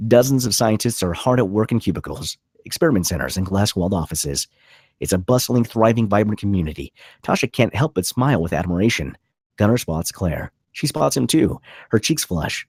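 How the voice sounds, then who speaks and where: A man's voice: lowish voice, darkish tone, husky, dry recording, clean studio sound. A man reading stage directions as dramatic scripted narration at a table read.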